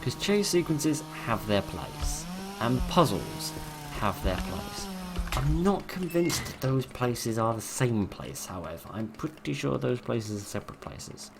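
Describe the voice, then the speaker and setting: A hoarse man giving dry, wry, rambling commentary while playing a horror game at his computer. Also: mid-range voice, sing-song, a little slow, hardly any pauses, noisy background. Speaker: gameplay commentator. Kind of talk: let's play commentary.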